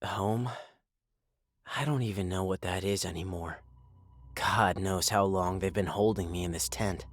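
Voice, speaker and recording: An actor playing a bitter, ailing oil rig worker held in quarantine in a horror audio drama. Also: male voice, sits low, measured pace, slight background noise. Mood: weary, brooding. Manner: bitter, agitated, profane. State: coughing, ill.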